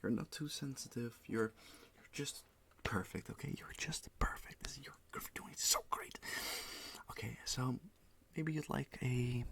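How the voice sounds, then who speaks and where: A man whispering and speaking softly in a gentle ASMR roleplay as a music teacher, repeating words slowly and reassuring the listener. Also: medium pitch, vocal fry.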